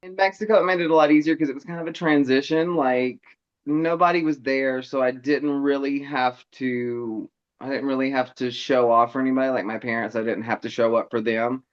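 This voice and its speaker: A man in his thirties telling stories in casual chat, animated and laughing often, acting out other people's lines. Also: medium pitch, fairly quick, dull audio. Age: 30s. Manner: animated, laughs often, mimics voices in quotes.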